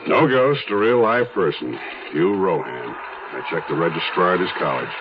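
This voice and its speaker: An actor playing a methodical police detective in a 1970s radio drama, terse and matter-of-fact. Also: male voice, deep voice, expressive, moderate pace, noisy background. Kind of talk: 1970s radio drama acting and first-person narration. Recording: dull 1970s radio recording, music behind.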